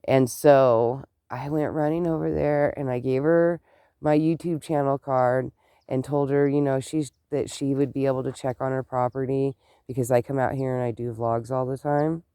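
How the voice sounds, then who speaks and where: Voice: medium pitch, fairly even intonation, normal speed, dark tone, smooth, no echo, clean studio sound. A vlogger with a stuffed-up nose and clogged ears, chatting casually and rambling to the camera, outdoors on a rural property. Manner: chatty, meandering, exclaims often.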